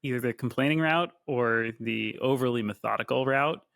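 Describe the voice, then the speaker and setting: A man co-hosting a podcast, telling a candid, animated story in casual talk. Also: medium pitch, moderate pace, gravelly, dry recording, studio quality. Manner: animated, candid, swears freely.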